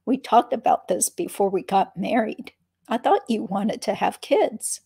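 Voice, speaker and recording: A gentle, affectionate young husband who speaks softly and reassuringly, in a middling pitch, read by a woman who barely changes her own voice. He sounds calm and doting, like someone coaxing his partner to sit down and talk. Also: a little slow, gravelly, slightly rough, no echo, clean studio sound, heavily acted. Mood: soothing.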